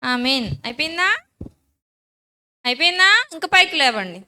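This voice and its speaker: A woman's voice: sits high, big pitch swings, moderate pace, sharp tone. A woman, a Sunday school teacher instructing and leading children firmly in short phrases.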